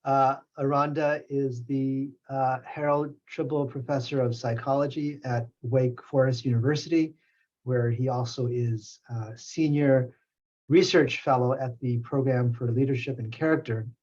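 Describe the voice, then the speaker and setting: A man hosting an academic seminar series, introducing the speaker in a halting way with many pauses and repeated words. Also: medium pitch, fairly even intonation, a little mellow. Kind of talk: formal introduction. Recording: slightly dull, muffled audio.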